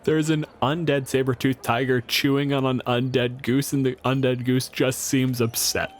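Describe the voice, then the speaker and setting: A man's voice: medium pitch, little pitch movement, normal speed. A man casually narrating a tabletop game as game master, with a wry, joking manner. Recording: faint background music bed. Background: music.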